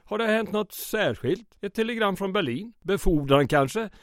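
A fretful small-town mayor in a vintage-style radio play. His voice sits high, and his manner is polite, nervous and stammering. The sound is dry. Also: animated, no room sound. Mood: nervously polite. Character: anxious, fussy male town mayor secretly aiding resistance. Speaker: actor in radio drama. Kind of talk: old-time radio drama acting.

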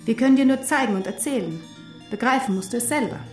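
An actress in a German radio drama playing a knowing teacher who explains history in a deliberate, emphatic way. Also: female voice, on the high side, roomy sound, natural voice. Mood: grave, earnest. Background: music.

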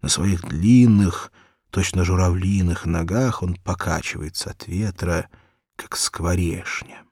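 A man narrating a children's story in an expressive scripted reading. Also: low voice, measured pace, husky, dry recording, clean studio sound. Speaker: audiobook narrator.

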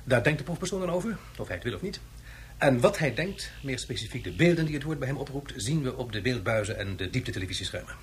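An actor plays a fussy professor in a radio play, with a mid-pitched male voice. He explains eagerly and hesitantly over faint noise. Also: lively intonation, fast, close to an everyday voice. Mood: pedantic, irritated. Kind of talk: radio drama acting.

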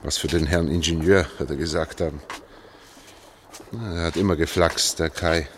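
An actor playing an anxious middle-aged engineer in a radio drama, speaking in a hushed, urgent, halting way. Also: male voice, very deep voice, fairly even intonation, a little hiss or hum. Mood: subdued, reminiscing. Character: anxious male engineer leading an escape through sewers. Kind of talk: German radio drama acting.

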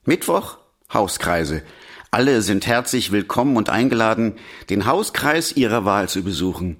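A man's voice: lowish voice, lively intonation, moderate pace, a bit bright. A man reading scripted announcements as a church announcer, listing items in a singsong way. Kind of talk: scripted announcement of weekly schedule.

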